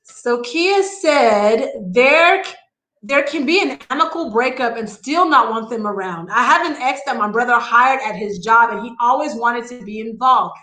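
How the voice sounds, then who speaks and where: A woman's voice: on the high side, animated, normal speed, sharp tone. A woman in her thirties co-hosting a casual talk show, chatty and posing questions to the group. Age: 30s. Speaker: co-host. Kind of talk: casual chat. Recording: echoey room.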